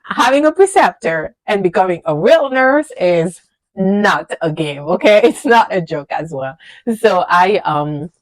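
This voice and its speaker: Lively French-native woman telling her story. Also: fairly low pitch, a little slow, dry recording. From French-speaking country, immigrated to the USA. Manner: animated, emphatic, with frequent fillers and repeated words. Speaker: registered nurse, vlogger. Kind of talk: casual personal storytelling to camera.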